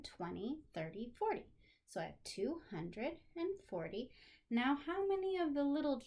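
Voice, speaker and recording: A woman with a fairly high voice teaches young children at a very unhurried pace. She is animated and encouraging, keeps her phrasing simple, and often addresses her pupils with questions. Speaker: special education teacher. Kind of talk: lesson for young children, talking directly to pupils.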